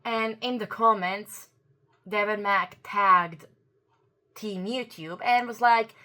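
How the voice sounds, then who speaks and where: A woman's voice: medium pitch, slow pace, sharp tone. A native Croatian-speaking woman giving an earnest opinion commentary to camera. She often searches for words and corrects herself as she goes. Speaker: YouTube commentator.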